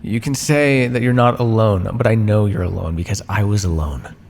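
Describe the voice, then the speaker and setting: A man playing a condescending, conflicted vampire captor and scientist in a theatrical ASMR roleplay, teasing the listener with sighs and drawn-out words. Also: fairly low pitch, darkish tone, big-room sound, slight background noise, natural voice. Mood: defensive, insistent.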